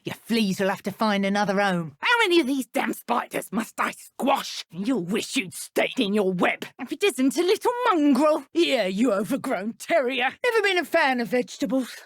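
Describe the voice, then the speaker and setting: A woman with a British accent playing an arrogant, menacing dark witch poacher in a video game, with a theatrical, mocking manner. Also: fairly high pitch, very animated, moderate pace, few pauses, dry recording, studio quality. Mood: mocking, fierce. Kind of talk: video game combat voice acting.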